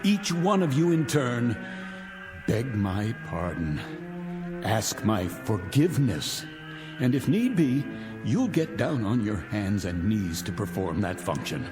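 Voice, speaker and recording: A man's voice: fairly low pitch, very animated, slow. A middle-aged actor playing a vindictive rich man taunting his guests in 1960s television drama style, mocking and grandiloquent. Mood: commanding, gloating. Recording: vintage TV soundtrack, reverberant, music behind.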